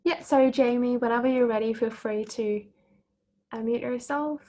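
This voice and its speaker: A woman hosting an online panel, handing over to each speaker with brisk, friendly cues. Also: a little high, fairly even intonation, mellow. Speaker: event host. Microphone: video call.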